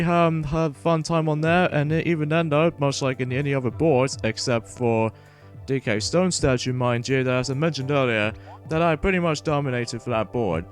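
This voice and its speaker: A man hosting a gaming let's play, talking in a wordy, rambling way and stumbling over words as he comments and reads along. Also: on the high side. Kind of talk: gameplay commentary and read-aloud narration. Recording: music playing behind the voice. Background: video game music.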